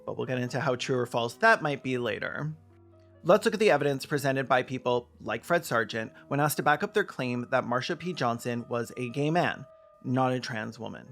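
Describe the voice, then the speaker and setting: Male essayist, emphatic and pointed, creaky edge to the voice, scripted argument over faint music. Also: medium pitch, animated, normal speed, a bit bright. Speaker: video essayist and presenter.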